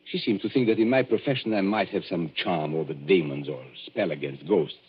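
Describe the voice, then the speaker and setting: An actor playing a suave stage magician who speaks in an urbane, theatrical, mocking manner in an old radio drama. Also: male voice, low voice, a little fast, slight background noise, lightly acted. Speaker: magician and escape artist. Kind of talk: 1940s radio drama acting. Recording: tinny, lo-fi old radio broadcast.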